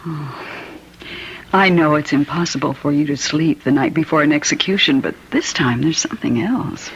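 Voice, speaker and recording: A concerned wife, muffled recording. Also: female voice, low-pitched, normal speed. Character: warden's concerned, uneasy wife. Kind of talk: radio mystery acting. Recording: muffled, faintly noisy radio recording.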